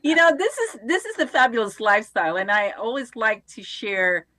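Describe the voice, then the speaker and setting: A woman hosting an interview show, asking curious, halting questions with many fillers. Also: a little high, sing-song, pushed and bright, no room sound. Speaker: show host.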